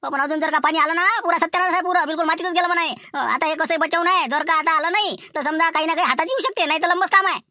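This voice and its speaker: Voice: very high voice, rapid-fire, hardly any pauses, pushed and bright, dry recording, studio quality, pitched above the performer's own voice, full character voice. A woman voicing worried village farmers in a cartoon comedy, speaking colloquial, complaining rural Vidarbha Marathi. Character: worried Marathi village farmer men chatting about crops.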